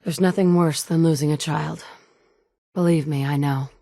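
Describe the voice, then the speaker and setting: Tough guarded survivor woman for a game, deep voice, matter of fact, no echo at all. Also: steady tone, normal speed, gravelly, mellow, studio quality. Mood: grim, determined. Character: determined, guarded woman survivor, a searching mother. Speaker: voice actor for a video game player character. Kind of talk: video game dialogue lines.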